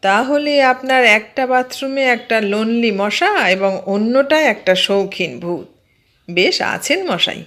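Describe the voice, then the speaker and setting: A woman's voice: a little high, animated, measured pace, a bit bright. A woman narrating an audio story, acting out its dialogue. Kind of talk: dramatised storytelling, reading dialogue.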